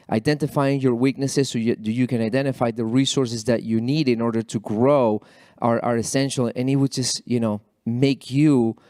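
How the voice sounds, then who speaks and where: A man's voice: mid-range voice, mellow, no echo. Panel guy whose first language is spanish, repeats words a lot, earnest, dry mic sound. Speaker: alumni panelist. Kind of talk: panel discussion remarks. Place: college event panel.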